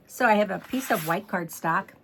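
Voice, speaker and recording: A middle-aged woman demonstrating card making in a chatty step-by-step tutorial, slightly hoarse as she is losing her voice. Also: mid-range voice, animated, measured pace, a little hiss or hum. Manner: chatty, walks through each step. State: losing her voice, throat clearing. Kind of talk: casual crafting tutorial.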